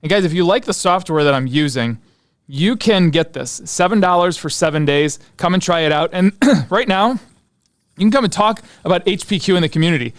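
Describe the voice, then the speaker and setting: A man's voice: fairly high pitch, no echo, studio quality. A man hosting a stock analysis show, talking casually and unscripted, thinking aloud as he goes. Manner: informal, thinking aloud, muttering asides to himself.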